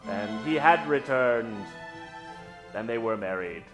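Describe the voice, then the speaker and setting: A man hosting a live old-time radio show, introducing characters from the stage in a dramatic, drawn-out storytelling style. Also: medium pitch, expressive, faint music behind. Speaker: host and announcer. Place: stage before a live studio audience. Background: musical accompaniment.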